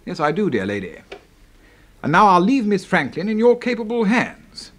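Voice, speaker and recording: A husky, pompous old English brigadier. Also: male voice, high-pitched, huge pitch swings, moderate pace, fairly bright tone, heavily acted. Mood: jovial. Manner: pompous, courtly. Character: genial, pompous old military boss, smoothly flattering. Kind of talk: 1970s radio drama acting.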